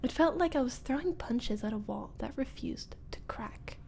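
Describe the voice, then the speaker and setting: A woman playing a teasing young former school bully turned nervous roommate, in an intimate ASMR roleplay with halting, breathy delivery that drops into whispers. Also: a little high, expressive, a little mellow, a little hiss or hum. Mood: frustrated, accusing.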